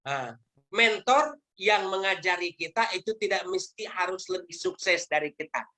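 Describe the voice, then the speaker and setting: A woman with a somewhat low voice leads a motivational sales training over a video call. She lectures emphatically and throws rhetorical questions at her listeners. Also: lively intonation, unhurried, pushed and bright. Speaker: network marketing trainer.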